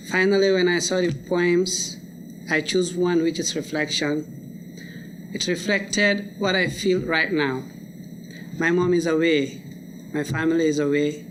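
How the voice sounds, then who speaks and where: A young man with a slightly high voice, speaking English as his second language. He reflects personally in short, plain sentences. The live event recording carries clear background noise. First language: a language other than English. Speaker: student poetry reader. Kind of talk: unscripted personal reflection to an audience. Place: live college lecture event.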